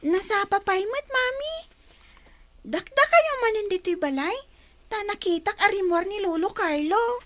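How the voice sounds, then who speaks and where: A woman's voice: extremely high pitch, animated, unhurried, fairly bright tone, a little hiss or hum, heavily acted. A woman with an Ilocano accent playing a sensible, thrifty young daughter in a radio comedy drama, matter-of-fact and chiding. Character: sensible, thrifty young daughter who scolds her twin.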